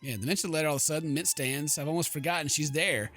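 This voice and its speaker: A man with a high, bright voice comments casually on a game as he plays, joking and exclaiming. Faint music runs behind him. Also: very fast. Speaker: gaming video host. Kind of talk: casual game playthrough commentary, reading game text aloud.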